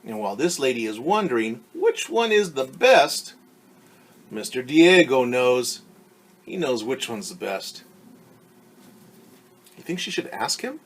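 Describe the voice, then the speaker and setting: A man's voice: medium pitch, sing-song, a bit bright. A male teacher reading a picture book aloud to children, stopping to explain words and ask them questions. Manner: pauses to explain words and quiz young listeners.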